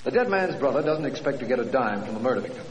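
Earnest detective's colleague, played by a man: rapid, clipped, matter-of-fact, reverberant vintage radio recording. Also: medium pitch, very animated, natural voice. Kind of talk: 1940s radio acting.

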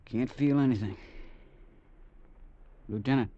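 An actor playing a wounded, homesick soldier in a 1940s radio drama, speaking simply and plaintively. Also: male voice, mid-range voice, takes it slowly, mellow. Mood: weary, hopeful. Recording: dull, dry vintage radio recording.

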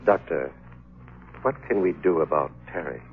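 An actor playing a sinister, evasive older man in an old radio drama, with gruff, curt replies and a husky voice. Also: a bass voice, normal speed. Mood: guarded. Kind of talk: 1930s radio drama acting. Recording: tinny old radio broadcast.

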